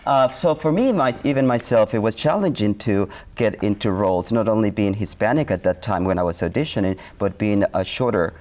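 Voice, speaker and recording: A man from Honduras, an artistic director answering interview questions earnestly, often pausing with fillers and restarting. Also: fairly low pitch, lively intonation, a little mellow. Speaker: dance company artistic director. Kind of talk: studio TV interview guest. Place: TV studio.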